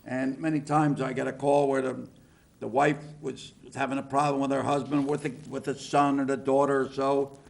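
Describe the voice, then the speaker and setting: A man's voice: mid-range voice, level tone, normal speed, gravelly. An older man hosting a talk show, chatty and folksy, often cutting in. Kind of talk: casual interview chat.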